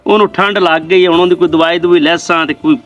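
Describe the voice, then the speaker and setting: A man's voice: high-pitched, steady tone, quick pace, fairly bright tone. A man with a rural Pakistani Punjabi accent acting a poor, proud, worried village father in a weary, rambling way. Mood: explaining, troubled. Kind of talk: amateur moral drama acting. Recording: dull lo-fi video with faint music.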